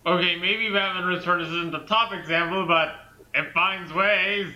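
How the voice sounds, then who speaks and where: Male co-commentator, very sharp high voice, enthusiastic, echoey room. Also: fairly even intonation, unhurried, dull audio. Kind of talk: casual comic commentary.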